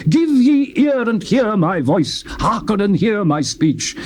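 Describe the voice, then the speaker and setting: A man with a British accent gives a dramatic, theatrical scripture reading, declaiming with sweeping rises and falls in pitch. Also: very animated, slow, darkish tone. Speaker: Bible reader. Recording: noisy old tape recording.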